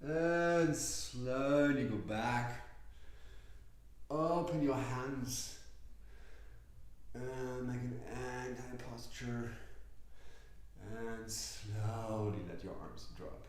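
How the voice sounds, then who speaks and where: A man leading a yoga class, speaking softly and slowly with gentle instructions. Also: medium pitch. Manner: soft, slow, gently instructive. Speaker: yoga teacher. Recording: very echoey room.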